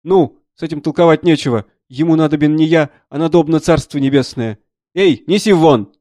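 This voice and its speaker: A man voicing a gruff, irascible military surgeon with brusque, loud exclamations in a dramatised audiobook. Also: fairly high pitch, normal speed, no echo, studio quality, natural voice.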